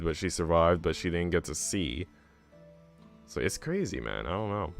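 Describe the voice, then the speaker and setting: A man streaming, chatting in a slangy, rambling way between bits of a visual novel he is reading. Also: low voice. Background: game music.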